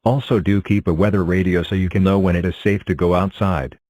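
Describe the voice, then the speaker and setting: A man with a low-pitched voice serves as an emergency alert announcer. He reads scripted severe weather bulletins in a formal, measured and impersonal way. The sound is dry, with no room echo. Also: fairly even intonation, a little mellow.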